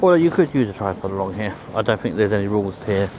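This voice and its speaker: London bloke chatting casually on a walk outside, bit hesitant and rambly, city noise all round him. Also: male voice, fairly low pitch, animated, fast, lo-fi sound. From London, England. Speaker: amateur photographer, vlogger. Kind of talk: casual walking commentary. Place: outdoors on a riverside walkway. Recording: outdoor city noise behind voice.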